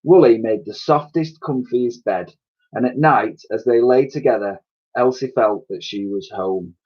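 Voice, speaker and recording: A man's voice: mid-range voice, a little slow, husky. A man reading a children's story aloud with expression, on a dull home recording. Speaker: story reader.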